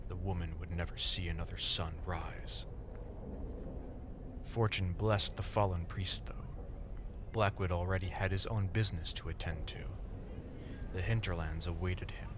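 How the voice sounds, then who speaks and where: A man reading scripted, dramatic dark fantasy narration as the storyteller. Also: low-pitched. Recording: ambient noise behind the voice.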